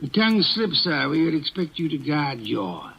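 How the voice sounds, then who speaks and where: An actor playing a pompous, imperious detective in an old radio drama, with clipped, gravelly speech. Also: male voice, a little high, big pitch swings, moderate pace, dull audio, heavily acted. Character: pompous portly male detective, gruff and imperious. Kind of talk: 1940s radio acting.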